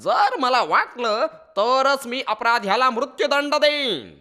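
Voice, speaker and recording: Slow, sly old jackal, high and bright. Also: female voice, big pitch swings, heavily acted. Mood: solemn, measured. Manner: slow, drawn-out, deliberate. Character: old jackal king, slow, sly, feigning frailty and fairness. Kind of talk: children's animated fable acting.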